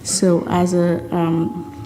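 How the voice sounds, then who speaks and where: Slow-spoken woman from Senegal in a hall. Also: fairly low pitch, monotone, warm tone, slight background noise. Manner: slow, measured, with frequent pauses and ums. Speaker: panel speaker, mathematician. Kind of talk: conference panel remarks. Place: large conference hall. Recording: reverberant hall with faint ambience.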